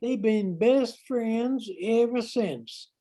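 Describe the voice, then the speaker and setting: An older woman playing a rough-talking male petty criminal in an amateur radio play. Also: a little high, extremely slow, over loud music. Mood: tough introduction. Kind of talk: amateur radio drama reading.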